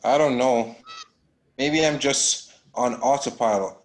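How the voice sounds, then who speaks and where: An actor at a table read playing a brooding, guilt-ridden man in a thriller drama, measured and introspective. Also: medium pitch, close to an everyday voice. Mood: guarded.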